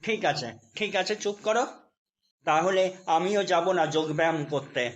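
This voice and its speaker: A man's voice: sits high, normal speed, muffled recording, natural voice. A man voicing a meek, exasperated henpecked husband in a comedy cartoon, placating and pleading. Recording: loud music under the voice. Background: loud music.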